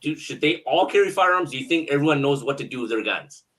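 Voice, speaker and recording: A man's voice: a little high, expressive, fast talker, fairly bright tone. A man hosting a casual interview, asking questions in a conversational, encouraging way. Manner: encouraging, affirming the guest. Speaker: podcast host, interviewer.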